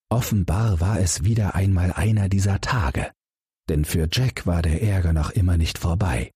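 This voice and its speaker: Male audiobook narrator for bedtime fairy tales: deep, very husky voice, dry close sound. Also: no echo. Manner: calm, expressive storytelling. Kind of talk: bedtime fairy tale reading.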